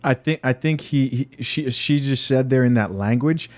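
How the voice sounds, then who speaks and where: A man's voice: medium pitch, normal speed. Blunt young male podcast host. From Zambia. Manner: blunt, opinionated, talks straight to viewers. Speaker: podcast host reacting to clips. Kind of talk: commentary podcast.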